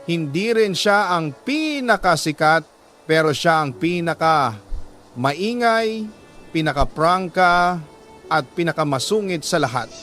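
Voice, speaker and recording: Higher-voiced Filipino man narrating a dramatic story. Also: animated, slow pace, a bit bright, soft background music. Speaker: radio-style storyteller reading a letter sender's love story. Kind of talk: dramatic scripted first-person narration.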